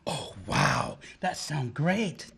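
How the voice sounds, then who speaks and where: A man's voice: a little high, lively intonation, very slow, creaky, a bit bright, husky, heavily acted. A man playing a joking young grad student. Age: young adult. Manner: playful, laughs at own jokes. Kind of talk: amateur radio play acting.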